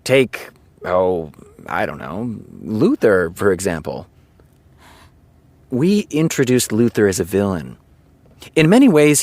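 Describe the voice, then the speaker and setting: An actor playing a smug, self-assured TV showrunner in an audio drama, speaking in a pompous, deliberate, lecturing way. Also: male voice, lowish voice, no echo. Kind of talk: naturalistic audio drama acting.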